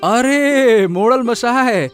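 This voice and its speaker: A man voicing an eager, kind young village son in a cartoon, excitable and prone to stammering. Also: very high-pitched, very slow, clean tone, faint music behind, exaggerated voice. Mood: flustered excited. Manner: excitable, stammers when flustered. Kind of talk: Hindi cartoon dubbing.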